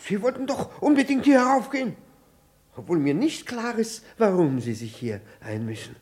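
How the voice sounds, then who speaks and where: Casting: pompous jealous husband, middle-aged, stammering and evasive, wildly swinging pitch, 1960s German radio drama. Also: male voice, sing-song, normal speed, a little mellow. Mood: flustered indignation. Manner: stammering, indignant, theatrical.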